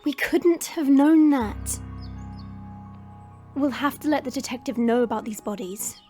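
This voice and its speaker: A woman playing a proud, teasing but caring fantasy woman in an audio drama, with a smug, drawn-out delivery. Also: high-pitched, a little mellow, soft background music. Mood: grave. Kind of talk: audio roleplay acting.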